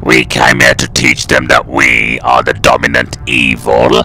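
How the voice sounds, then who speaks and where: A man's voice: rumbling low, very animated, measured pace, creaky, sharp tone, voice pushed down, full character voice. A man voicing a hostile, boastful robotic alien war machine in a fan audio drama, with clipped, menacing delivery. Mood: cold, menacing. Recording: music behind the voice.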